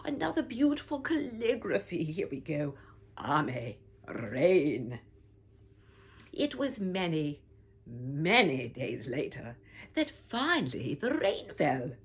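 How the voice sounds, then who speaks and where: Older woman storyteller, very husky and breathy, slow and swooping, theatrical read-aloud. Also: sing-song. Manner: theatrical, swoops high and low, whispers often, calls listeners "my dears". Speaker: storybook reader. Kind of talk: expressive read-aloud for children. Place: home room with bookshelf, indoors.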